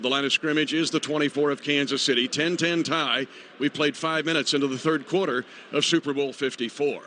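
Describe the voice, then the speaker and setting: A man's voice: a little high, pushed and bright. An American man calling football play-by-play live on a radio broadcast. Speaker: play-by-play announcer. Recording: noisy stadium radio broadcast. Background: stadium noise.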